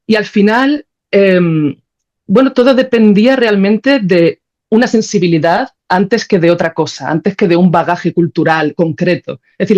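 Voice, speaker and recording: A woman's voice: fairly low pitch, darkish tone. Informal Spanish woman, slightly dull recording. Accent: Spain. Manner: informal, thinking aloud, often seeking agreement with tags. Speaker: podcast guest, composer and philosopher. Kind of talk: spontaneous, reflective interview conversation.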